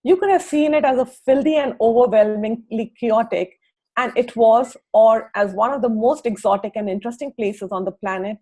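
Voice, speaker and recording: Husky, higher-pitched woman reading aloud. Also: normal speed, dark tone. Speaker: book club co-host. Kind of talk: reading a book aloud with informal comments.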